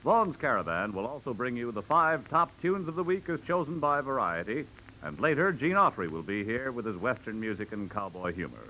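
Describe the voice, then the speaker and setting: A man's voice: medium pitch, very animated, brisk, bright, forceful tone. An American man announcing in a polished, promotional 1940s network radio style. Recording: noisy old 1940s radio recording.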